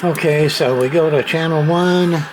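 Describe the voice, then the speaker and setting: A man's voice: a little high, unhurried. A man giving a casual, off-the-cuff hands-on demonstration of a repaired radio, plain-spoken and muttering to himself as he works. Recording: noisy home recording with clear background noise.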